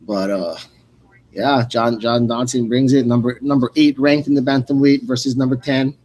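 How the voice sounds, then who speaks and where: A man's voice: mid-range voice, fairly even intonation, normal speed, a little mellow. Casual male radio fight host. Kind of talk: loose, casual live fight commentary.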